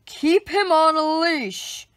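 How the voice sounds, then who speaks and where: A strict male park guard from a picture book, voiced by a woman. He scolds briskly and firmly, pitched a touch under the narration. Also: a bit bright, dry recording. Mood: stern, scolding.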